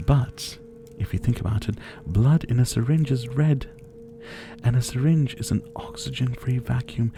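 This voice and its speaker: A man playing a suave, possessive vampire who was once a doctor, speaking to the listener in a theatrical, softly menacing ASMR roleplay. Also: mid-range voice, creaky, full character voice. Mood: pedantic, amused. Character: older male vampire captor, former doctor, suave, possessive.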